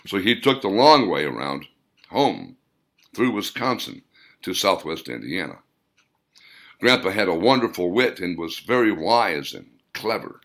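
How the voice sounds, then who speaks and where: A man narrates a story in a low voice that moves expressively up and down. He reads deliberately, in audiobook fashion, with dramatic emphasis and a noticeable gravelly creak. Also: sing-song, a bit bright. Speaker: author reading own novel.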